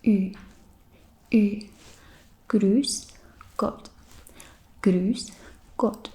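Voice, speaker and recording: Czech accent lady soft-spoken whispery asmr lesson, mellow and slow, lots of ums. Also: mid-range voice, steady tone. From Czech Republic. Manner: hesitant, many ums and likes. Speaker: ASMR host.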